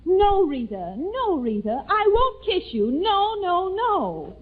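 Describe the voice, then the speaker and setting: Flirty American secretary, high-pitched, playful 1940s radio comedy, tinny narrow-band recording. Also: female voice, sing-song, slow pace, heavily acted. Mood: mildly reproachful. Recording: thin phone-like old radio recording.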